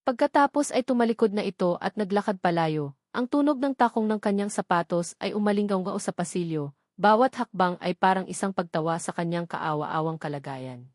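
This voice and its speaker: A woman with a Filipino accent reading a scripted dramatic story in a measured, emotive way, in short weighted phrases. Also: medium pitch, a little mellow, dry recording, clean studio sound. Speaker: story narrator.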